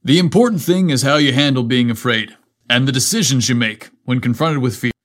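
A man's voice: medium pitch, fairly quick, close to an everyday voice. Guy doing a brave but modest fireman captain in an audio story, earnest, explains stuff plainly. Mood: thoughtful.

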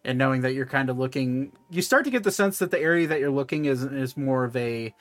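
A man running a tabletop game as game master, narrating in a halting, conversational way and often correcting himself. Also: mid-range voice, lively intonation, no echo.

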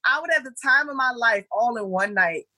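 A woman's voice: fairly high pitch, lively intonation, a little fast, pushed and bright. A woman from the United States, a massage therapist and podcast guest, chatting casually and laughing often. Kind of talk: casual chat.

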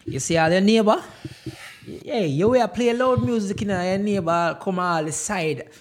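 Male, Jamaican accent, distinctly high pitch, casual conversation with emphatic, repetitive delivery. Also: moderate pace, a little hiss or hum. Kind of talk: casual chat.

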